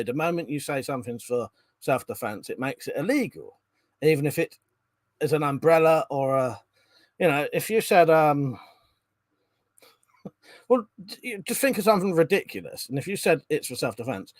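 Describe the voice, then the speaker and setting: A man's voice: medium pitch, dry recording. A man hosting a live stream, reading out viewer comments in a rambling, chatty way, congested from a cold and coughing now and then. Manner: chatty, rambling, frequent ums and restarts.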